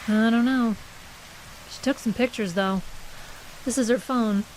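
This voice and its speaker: An actress playing a brisk, defensive, skeptical literary agent in an audio horror drama, with dry, guarded delivery. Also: female voice, a little high, slight background noise, lightly acted, low-quality recording. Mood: uneasy.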